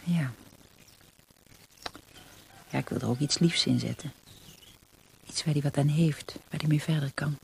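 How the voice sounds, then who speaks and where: A woman's voice: deep voice, unhurried, creaky, long pauses, darkish tone. A woman acting in a Dutch radio play as the chatty, wistful wife of an interned soldier, in naturalistic dialogue. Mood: wistful, worried. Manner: conversational, chatty, with small interjections. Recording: faintly noisy radio play recording.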